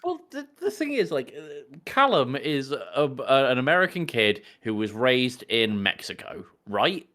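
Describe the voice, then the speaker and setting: A man's voice: medium pitch, big pitch swings, unhurried, few pauses, pushed and bright. A man co-hosting a podcast in casual comedic chat, joking in an incredulous, sweary way. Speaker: podcast co-host. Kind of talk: casual comedic banter about a film.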